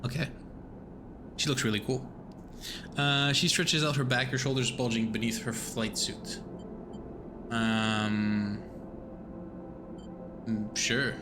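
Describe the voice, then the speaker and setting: A man streaming a game, reading text aloud and rambling in a self-mocking way, swearing now and then. His voice sits in an ordinary middle range. A clear layer of noise hangs under the home recording. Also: mid-range voice, steady tone, slow, long pauses.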